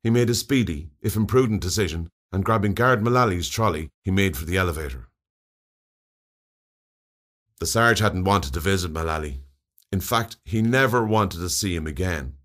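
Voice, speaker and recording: An Irish man narrates a comic short story. His voice sits fairly low, but the intonation swings widely as he reads. The delivery is scripted, expressive and wry. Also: fairly quick. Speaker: story reader.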